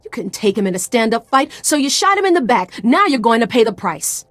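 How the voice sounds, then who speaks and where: A woman's voice: a little high, very animated, a little fast, fairly bright tone, no room sound, soft background music. A woman playing a hard-edged, vengeful gangster in a video game, speaking with threat. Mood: menacing. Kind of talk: video game cutscene acting.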